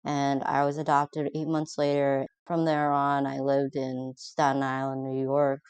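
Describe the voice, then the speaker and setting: A man's voice: mid-range voice, monotone, unhurried, slight background noise. A young man from China, adopted young, speaking reflectively in a documentary interview, often saying "you know".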